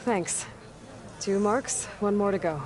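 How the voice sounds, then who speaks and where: A woman playing a calm, capable young warrior heroine in a video game, speaking in a measured way. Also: mid-range voice, crowd noise, close to an everyday voice. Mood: brisk.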